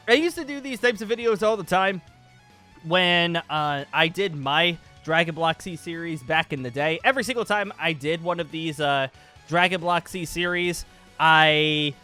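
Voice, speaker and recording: A man hosting a casual, excitable gaming announcement vlog in a rambling, emphatic, informal way. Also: sits high. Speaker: YouTube host announcing a new series. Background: music.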